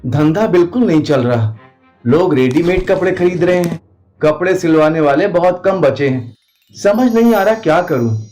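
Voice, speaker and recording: A man's voice: a little high, expressive, normal speed, a little mellow, soft background music, natural voice. A man playing a hardworking village tradesman and husband in a storybook tale. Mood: worried. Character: hardworking village tailor turned plant seller, a husband.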